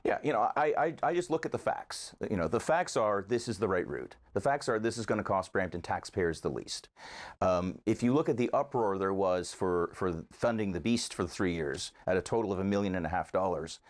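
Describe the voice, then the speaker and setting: A man's voice: deep voice, lively intonation, brisk. A man giving conversational interview answers as a local advocate, earnestly arguing his case point by point.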